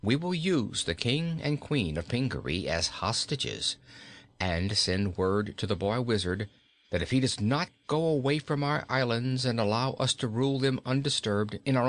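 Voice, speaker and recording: A man's voice: lowish voice, lively intonation, unhurried, a bit bright. A man voicing a frightened, scheming wicked queen in a storybook, urgent and commanding. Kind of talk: storybook character reading.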